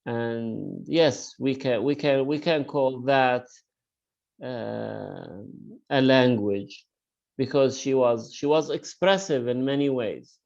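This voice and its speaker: A man teaches an online class at an unhurried, halting pace, his voice gravelly with fry. He stops often on fillers and urges students to take part. The call audio sounds dull and lo-fi. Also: medium pitch, a little mellow. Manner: hesitant, filler-heavy, encouraging. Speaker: university lecturer.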